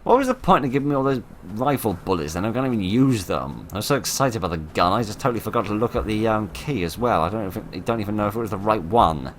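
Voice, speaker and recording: A man commentating his horror game playthrough in a chatty, wry way, with a voice that is getting hoarse. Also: lowish voice, expressive, quick pace, hardly any pauses, slight background noise. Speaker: gaming commentator. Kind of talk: let's play commentary.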